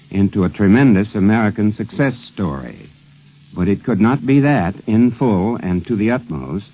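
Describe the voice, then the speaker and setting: Middle aged guy w a low voice narrating an old radio tribute, formal n measured, muffled 50s sound. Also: a little hiss or hum. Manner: formal, measured, literary phrasing. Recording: dull, muffled 1950s radio recording.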